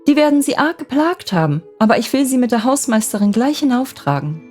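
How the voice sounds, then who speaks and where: An excitable, warm-hearted young housekeeper from a storybook fairy tale, acted out by a woman narrator. The voice lifts well above the storyteller's own, with emphatic, eager exclamations. Music plays underneath. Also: high voice, fairly quick, voice pushed up. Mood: concerned, bustling.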